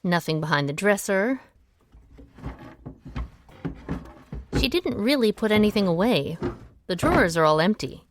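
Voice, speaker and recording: A woman's voice: medium pitch, expressive, a little fast, noisy background, natural voice. A woman playing a guarded, independent small-town amateur sleuth in an audio drama, with a wry, reserved manner. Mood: wry, businesslike. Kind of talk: audio drama dialogue acting.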